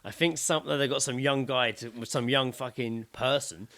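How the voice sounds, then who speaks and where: A man's voice: mid-range voice, moderate pace. A man co-hosting a podcast in casual, sweary banter, acting out what people said as he tells anecdotes. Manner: sweary, animated retelling with acted-out quotes. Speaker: podcast co-host.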